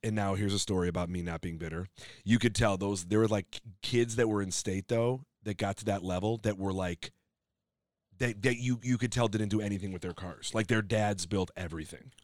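A man guesting on a podcast, a comedian telling rambling stories with a loud voice and lots of restarts. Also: lowish voice, brisk, no echo, clean studio sound. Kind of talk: casual interview chat.

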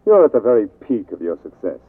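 A man's voice: fairly low pitch, wildly swooping pitch, fast talker, breathy edge, no room sound, heavily acted. A man acting an urgent, exasperated, pleading figure in a 1940s radio drama, emphatic and insistent. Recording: dry, muffled 1940s radio recording.

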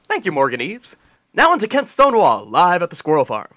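An actor playing an animated male news anchor. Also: high-pitched, brisk, exaggerated voice.

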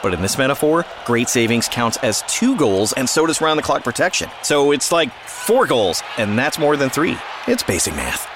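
A woman's voice: extremely low pitch, sing-song, moderate pace, hardly any pauses, background noise. A woman voicing a scripted radio commercial with a perky, bubbly comic delivery. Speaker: ad spokesperson. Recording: ad with background music.

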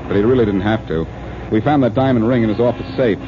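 An actor playing a cocky, wisecracking detective in a 1940s radio crime drama, with a wry and self-assured way of talking. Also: male voice, fairly low pitch, fast, close to an everyday voice. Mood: breezy, pleased. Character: cocky wisecracking male amateur detective, adult, quick and smug. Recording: muffled, noisy old radio recording.

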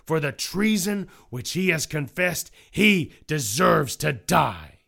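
Stern, hateful judge for storybook allegory, played by a man. Declamatory, deliberate, unhurried delivery. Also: a little high, sing-song, creaky, pushed and bright, voice pushed up, full character voice. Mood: stern, accusing. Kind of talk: dramatized allegory narration.

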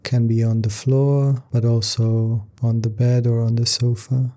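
Male meditation guide: very unhurried, even-toned, gentle and calm, measured phrases with room to breathe. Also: mid-range voice. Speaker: yoga nidra meditation teacher.

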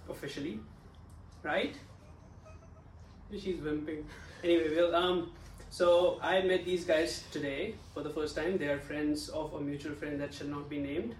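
A man hosting a podcast in a relaxed, casual way, swearing freely as he chats with guests. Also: on the high side, a little slow. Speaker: podcast host. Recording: echoey room, distant mic, faint background noise.

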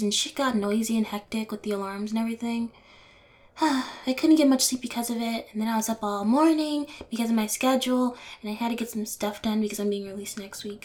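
A high-pitched young female convict played by a woman talks with mocking nonchalance. She is sarcastic, fearless and a little unhinged, in the manner of an intimate audio roleplay. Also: natural voice.

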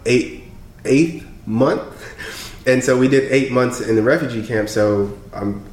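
A young man from Congo chats as a podcast guest, telling stories with lots of laughter and energy. His voice sits at an ordinary male pitch. The room around him sounds echoey. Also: slow. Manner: animated storyteller, laughs often. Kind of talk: casual interview chat.

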